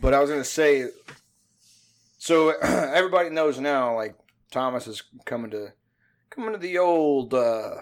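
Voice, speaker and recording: A man's voice: mid-range voice, moderate pace, long pauses. A man, hosting a casual chat in a rambling, profane, self-deprecating way. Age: 50s. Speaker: podcast host.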